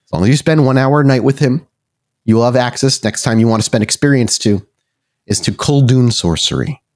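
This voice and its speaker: A man's voice: mid-range voice, a little fast. A man working as game master, narrating a tabletop horror roleplay game in improvised second-person storytelling.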